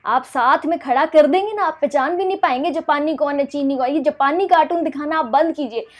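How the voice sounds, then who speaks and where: A woman's voice: high voice, fairly quick. A woman, playing an indignant, scolding mother on a prank phone call. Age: 20s. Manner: scolding, indignant, talking over the other person. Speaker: prank caller. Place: small curtained room indoors. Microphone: clip-on lapel mic.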